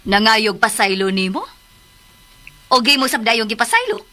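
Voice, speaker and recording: A domineering Filipino mother in a radio serial. Her voice is high and bright, swinging widely as she exclaims with suspicion and indignation. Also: very animated, moderate pace. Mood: concerned. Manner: exclamatory, indignant, switches into English. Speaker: radio drama actress. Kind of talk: emotional radio drama acting. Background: background music.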